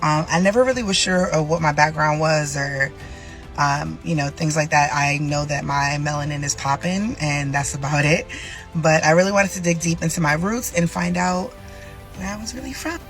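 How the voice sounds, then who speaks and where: A woman's voice: low-pitched, moderate pace. Casual woman vlogger with faint music. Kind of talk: casual vlog to camera.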